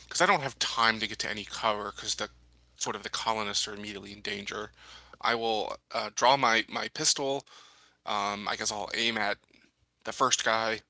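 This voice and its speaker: A man's voice: fairly low pitch, sharp tone, no room sound. A man playing a tabletop roleplaying game, chatting casually and thinking his moves through aloud.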